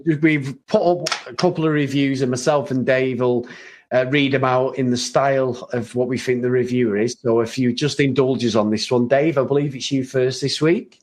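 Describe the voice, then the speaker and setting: A man's voice: mid-range voice, fairly even intonation, moderate pace, a little mellow. A man co-hosting a podcast in casual chat, easygoing and hesitant with ums. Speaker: podcast co-host.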